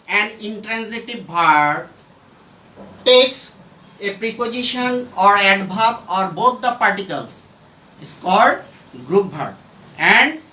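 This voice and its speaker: A man teaching a grammar lesson at a board, speaking in deliberate, halting, emphatic phrases, recorded from a distance in an echoey room. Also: high voice, bright, forceful tone. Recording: roomy echo, distant mic, faint noise.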